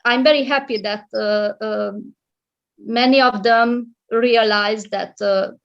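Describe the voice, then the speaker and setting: A middle-aged woman talks slowly and haltingly in a slightly high voice, pausing on fillers and restarting her sentences. The audio is muffled and lo-fi, like a video call. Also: fairly even intonation. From Romania. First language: Hungarian. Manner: hesitant, many fillers and restarts. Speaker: guest, school librarian and private English tutor. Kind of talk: casual interview chat.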